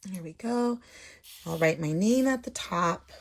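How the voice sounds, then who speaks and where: Slow-paced woman teaching young children. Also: lowish voice, lively intonation. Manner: simple child-directed explaining with tag questions. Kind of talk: casual instructional talk while drawing.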